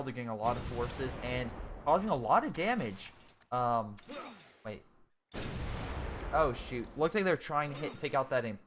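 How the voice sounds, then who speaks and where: A young man in his twenties casually commentating a strategy game he is playing, thinking out loud with many ums and restarts while answering viewers' chat. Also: medium pitch, noisy background. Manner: thinking aloud, frequent ums and self-corrections. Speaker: gaming YouTuber. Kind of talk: casual let's-play commentary, reading chat.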